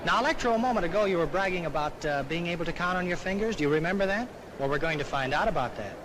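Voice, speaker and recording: High-voiced 1930s showman on noisy film. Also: expressive, very fast. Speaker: demonstrator, showman. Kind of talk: 1930s public stage demonstration patter.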